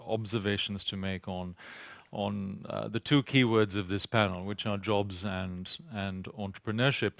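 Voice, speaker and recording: Halting British man with a gravelly voice. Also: lowish voice, fairly bright tone, no room sound, studio quality. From Sri Lanka. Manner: hesitant, halting, repeats words and fills with "uh". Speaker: panel speaker. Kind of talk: conference talk. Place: conference venue.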